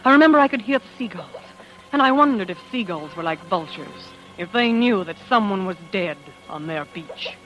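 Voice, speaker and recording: An actress playing a shaken young wife who narrates a murder discovery in a hushed, dramatic 1940s radio style. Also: female voice, medium pitch, lively intonation, normal speed, dull audio, close to an everyday voice. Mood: haunted, tense. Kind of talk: 1940s radio acting, first-person suspense narration. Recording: old radio recording with music underneath.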